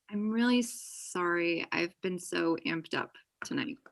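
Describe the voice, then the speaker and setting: A woman playing a chatty, high-energy friend in a comedy table read on a video call, with an animated, gushing manner. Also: slow pace, vocal fry, full character voice. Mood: apologetic. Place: small indoor room on a video call. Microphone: computer mic on video call.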